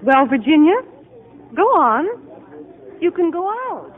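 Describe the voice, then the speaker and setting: Brisk nurse with lively intonation. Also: female voice, sits high, heavily acted. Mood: coaxing. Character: brisk, bossy-kindly female asylum nurse. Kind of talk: 1950 radio drama acting. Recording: muffled narrow-band 1950 radio broadcast.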